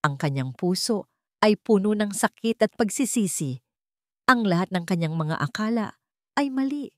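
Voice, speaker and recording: A Filipino woman narrating a scripted romantic drama in a measured, melodramatic storytelling manner. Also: medium pitch, expressive, dry recording, studio quality.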